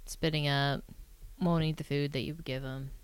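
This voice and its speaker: A woman with a low, smooth voice chats as a podcast guest. Her manner is matter-of-fact and dry, with light joking. The sound is close, with no room echo. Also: steady tone, moderate pace. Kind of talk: casual chat.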